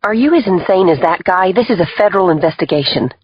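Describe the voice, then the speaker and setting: Husky, blunt woman agent. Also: sits low, a little fast, no echo, muffled recording. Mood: furious. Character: fiery, angry female federal agent, blunt and sharp. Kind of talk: audiobook drama acting.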